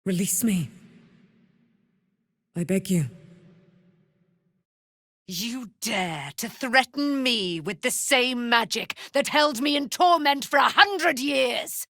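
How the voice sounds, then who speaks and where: A woman's voice: a little high, animated, slow, pauses often, pushed and bright, dry recording, clean studio sound, heavily acted. A woman playing a proud immortal paladin, a moon goddess's warrior daughter, in a fantasy video game, speaking in grand, archaic, theatrical declamation. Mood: anguished, then defiant, furious.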